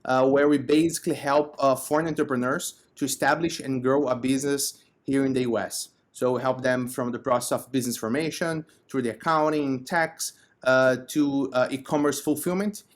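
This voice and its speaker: Male interview guest, Brazilian accent, medium pitch, conversational entrepreneur explaining services. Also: steady tone, moderate pace. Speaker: podcast guest, company co-founder.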